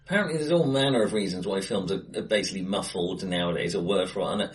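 A man's voice: sits low, fast talker. A man co-hosting a casual film discussion in a conversational, tentative and self-deprecating manner. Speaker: podcast co-host. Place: indoors, bright-sounding room, face to face.

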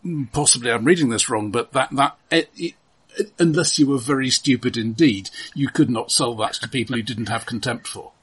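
A man co-hosting a film discussion podcast, explaining his points in a casual, measured, halting way. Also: mid-range voice, fairly bright tone, breathy edge. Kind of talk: casual film analysis chat.

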